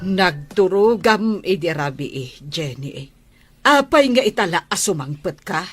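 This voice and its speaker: A woman's voice: fairly low pitch, animated, a little slow, husky, soft background music. A middle-aged woman playing a suspicious mother who nags and scolds her daughter in an audio comedy drama. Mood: scolding. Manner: nagging, scolding questions.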